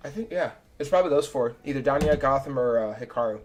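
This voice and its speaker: A young man, a chess streamer, talking casually and self-critically through his own game, his voice a little raspy. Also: medium pitch, lively intonation, fast talker. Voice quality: slightly raspy. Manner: chatty and self-critical, thinking aloud move by move. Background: faint background music.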